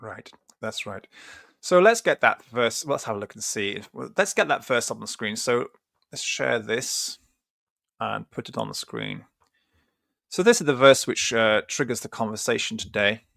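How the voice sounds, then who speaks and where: A man's voice: mid-range voice, expressive, normal speed, creaky, a bit bright, no echo. A man hosting a religious podcast in a studio, chatting in a rambling, self-correcting way, with a slight cough. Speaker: podcast host. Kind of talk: casual religious podcast talk. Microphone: studio mic with cough button.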